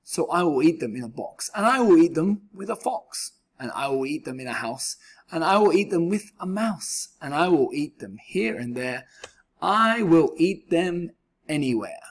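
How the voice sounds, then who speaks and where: A man reading a rhyming children's book aloud in an expressive, theatrical way, with a husky voice. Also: fairly high pitch, moderate pace. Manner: animated, theatrical. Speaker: storybook reader.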